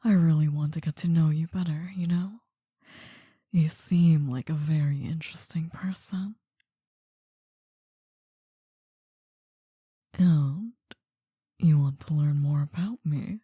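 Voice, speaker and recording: ASMR roleplay: older mafia boss lady played by a woman, low-pitched husky whisper, possessive, coaxing with quiet menace, unhurried. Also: steady tone, no room sound, clean studio sound. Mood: tender, coaxing. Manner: slow, hushed, deliberate. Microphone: close dry microphone.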